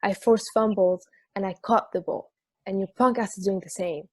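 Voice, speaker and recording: A woman's voice: medium pitch, steady tone, normal speed, warm tone, husky, no echo, clean studio sound, close to an everyday voice. A woman playing a sassy, bossy former football player in an amateur table read, blunt and emphatic. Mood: scolding.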